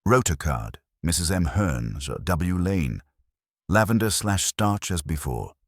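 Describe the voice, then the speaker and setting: A man's voice: low-pitched, big pitch swings, takes it slowly, no echo, full character voice. A man voicing a dry, reserved police inspector in a storybook mystery, terse, courteous and understated. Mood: note-taking.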